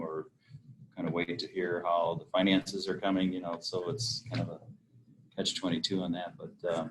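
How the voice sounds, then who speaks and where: A man's voice: deep voice, a bit bright, slight background noise, poor audio. A man leading a casual meeting discussion, hesitant with many fillers. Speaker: meeting facilitator.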